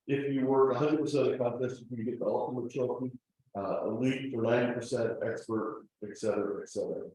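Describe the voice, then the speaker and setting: A man talks through his slides at a club meeting, explaining patiently and pausing on fillers. His voice is dark and mellow. He is picked up from across a very echoey room. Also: medium pitch, fairly even intonation. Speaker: club presenter. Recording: distant, roomy meeting-room recording.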